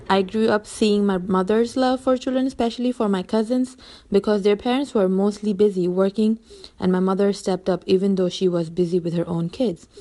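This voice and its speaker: A young woman from Bangladesh, a teacher, giving a prepared seminar presentation in an earnest, steady way with the odd restart. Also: medium pitch.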